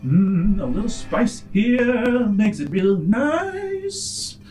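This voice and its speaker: A man's voice: sits high, sing-song, very slow, a little mellow, soft background music, pitched above the performer's own voice, full character voice. A man voicing a theatrical, taunting villain in a tabletop game, with a dramatic, teasing, sing-song delivery. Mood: theatrical delight. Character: theatrical, taunting villain with a playful flair for games.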